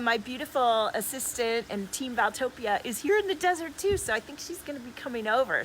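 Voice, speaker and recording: A woman's voice: medium pitch, pushed and bright, rough recording. A woman speaking effusively and emphatically in casual new-age coaching style on a livestream, as a healer and host. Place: outdoors in the desert. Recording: clear background noise, outdoors.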